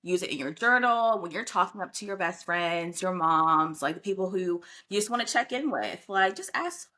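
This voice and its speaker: A woman's voice: lowish voice, lively intonation, moderate pace, bright, forceful tone. A young adult woman co-hosting a podcast, chatting in an upbeat way with many "you know" fillers. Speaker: podcast co-host. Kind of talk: casual chat.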